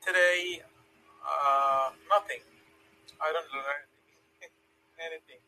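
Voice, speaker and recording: Bright-voiced man with a Turkish accent. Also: fairly high pitch, fairly even intonation, takes it slowly, rough recording. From Turkey. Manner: short, polite replies. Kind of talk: casual video chat with a stranger.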